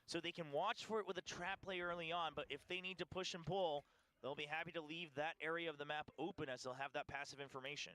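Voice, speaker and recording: High-voiced guy caster, crisp and sharp, quick play-by-play. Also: steady tone. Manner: rapid, running play-by-play. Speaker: esports caster. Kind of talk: play-by-play commentary.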